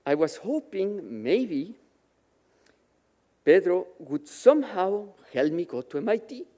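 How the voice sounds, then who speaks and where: A man from Mexico, raised with Yiddish as his first language, tells his life story in a slow, measured way. His voice is mellow and moves expressively up and down. The sound carries a long reverb from the chapel. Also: high-pitched, sing-song. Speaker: guest speaker at a Shabbat service. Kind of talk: personal testimony speech. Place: synagogue chapel.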